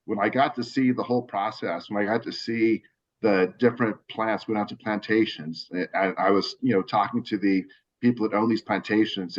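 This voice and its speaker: A man's voice: lowish voice, dull audio. A man talking casually in an interview over a video call, rambling with many restarts and fillers. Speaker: cigar brand owner.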